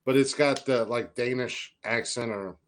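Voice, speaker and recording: A man's voice: medium pitch, unhurried. A man co-hosting a podcast, rambling in blunt, casual talk and swearing a lot. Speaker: podcast co-host. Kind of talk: casual profane banter.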